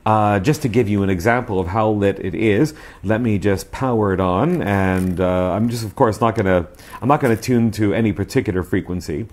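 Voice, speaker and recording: A man's voice: on the low side, moderate pace, a little mellow. A man in his forties hosting a casual review to camera in a chatty, emphatic presenter style. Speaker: host of a radio review show. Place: small living room, indoors. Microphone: lapel mic.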